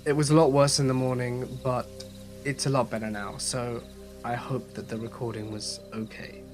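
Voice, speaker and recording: A man narrating horror stories as a channel host, reading in a measured, steady way with a voice slightly off from a cold. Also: mid-range voice, over music. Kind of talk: scripted horror story narration.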